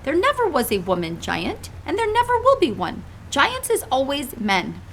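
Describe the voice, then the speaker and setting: A woman's voice: high-pitched, wildly swooping pitch, moderate pace, fairly bright tone, slight background noise, pitched above the performer's own voice, heavily acted. A woman reading aloud and voicing a kindly, forlorn old male giant who mangles his grammar and invents words. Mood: insistent. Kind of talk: storybook read-aloud.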